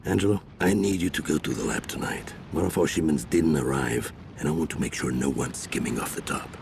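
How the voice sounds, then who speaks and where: A cold, cartel boss. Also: male voice, extremely low pitch, a little fast, vocal fry, slight background noise. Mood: menacing. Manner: quiet, controlled, intimidating. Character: cold, menacing male cartel gang boss. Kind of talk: video game cutscene dialogue.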